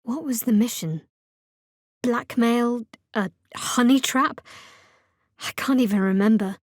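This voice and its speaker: Guarded, high-voiced young woman cleric. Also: slow pace, dry recording, studio quality. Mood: measured, guarded. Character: guarded, sardonic young woman cleric adventurer with dry wit. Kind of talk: fantasy video game dialogue acting.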